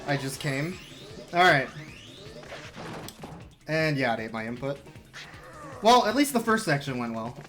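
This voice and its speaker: A man's voice: medium pitch, big pitch swings, measured pace, pauses often, a bit bright. Wry young man commentating a speedrun. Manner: wry, self-deprecating, explanatory. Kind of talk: casual gameplay commentary. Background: game music.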